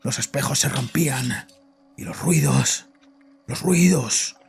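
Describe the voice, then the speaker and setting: Casting: haunted, bitter father on the psychiatrist's couch, heavy fry and husky rasp, agitated horror-fiction delivery. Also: mid-range voice, full character voice. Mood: agitated, desperate. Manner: agitated, halting, haunted confession. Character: guilt-ridden, frightened, bitter father confessing to his psychiatrist. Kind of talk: dramatized horror audio fiction. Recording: voice over background music.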